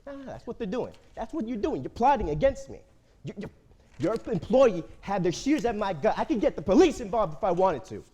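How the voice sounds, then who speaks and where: Menacing male boss in a stage drama acted by a man, mellow but wide-swinging voice, condescending and easily riled. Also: high-pitched, big pitch swings, brisk, slightly rough, full character voice. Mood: angry, paranoid. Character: menacing, entitled male factory commander, a sexual predator. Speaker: actor in a staged reading. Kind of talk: staged play reading.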